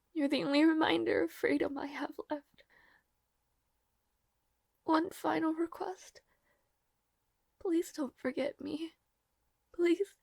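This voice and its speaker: High-voiced, lovelorn young maid played by a woman. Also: creaky, darkish tone, no echo, full character voice. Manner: formal, halting, hesitant, earnest speech. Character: devoted young noblewoman maid, lovelorn, dutiful, tearful. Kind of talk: intimate fantasy audio roleplay acting.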